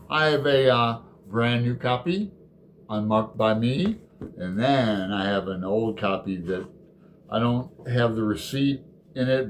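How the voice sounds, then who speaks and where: Slow, halting man with faint background noise. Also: mid-range voice. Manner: halting, unhurried, digressive. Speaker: host of a book readalong video. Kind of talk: casual chat with poems read aloud.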